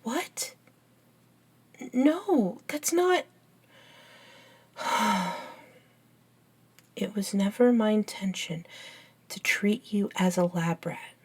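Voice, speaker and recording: A woman playing an earnest, bookish young best friend in an ASMR audio roleplay, soft-spoken and hesitant, with pauses. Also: mid-range voice, breathy edge. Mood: apologetic. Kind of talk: ASMR roleplay acting, one side of a dialogue.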